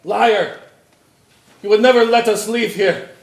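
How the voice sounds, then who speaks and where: Very high-voiced, hot-tempered prisoner played by a man. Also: measured pace. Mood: suspicious, angry. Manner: confrontational, blunt, cursing. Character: angry, distrustful male prisoner, hot-tempered family man. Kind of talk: stage play acting. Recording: echoey stage recording, clearly noisy.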